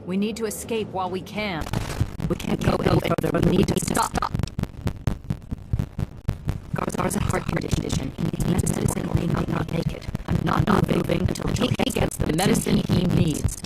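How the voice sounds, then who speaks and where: An actress playing an urgent, earnest scientist in a video game, speaking insistently. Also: female voice, fairly low pitch, moderate pace, heavy background noise, natural voice. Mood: worried, insistent. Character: urgent, earnest female scientist leading an escape. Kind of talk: dramatic video game acting.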